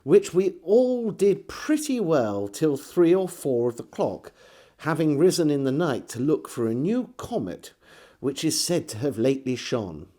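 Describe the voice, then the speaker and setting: A man's voice: on the high side, very animated, warm tone. An English man giving a scripted, theatrical reading in the manner of a storyteller introducing and narrating an old diary. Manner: measured, theatrical delivery. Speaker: storyteller and host.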